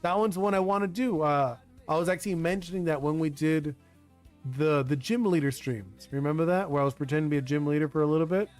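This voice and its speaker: A man's voice: a little high, fast, darkish tone. A young man whose first language is Spanish, chatting casually on a live stream while he designs a card game, rambling with lots of "like" and restarts. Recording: faint music behind the voice.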